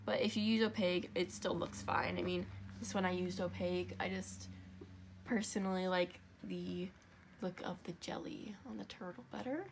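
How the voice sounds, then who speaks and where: A woman's voice: on the low side, fairly even intonation, normal speed, gravelly, slight background noise. Stuffy-nosed young woman explaining a loom craft step by step, chatty and rambly, fixes her own words a lot. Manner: chatty, rambling, self-correcting asides. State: congested, stuffy nose. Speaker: craft tutorial host.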